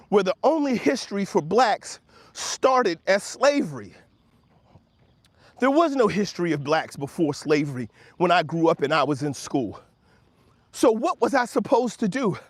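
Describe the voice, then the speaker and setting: High-pitched, animated American man in his fifties. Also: dry recording. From Washington, D.C., United States. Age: 50s. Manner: emphatic, preacherly repetition with rhetorical questions. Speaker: host of a motivational talk show. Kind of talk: motivational monologue to followers.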